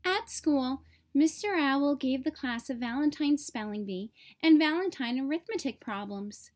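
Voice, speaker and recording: A woman narrating a children's storybook aloud in an expressive, clearly phrased way. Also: high-pitched, measured pace.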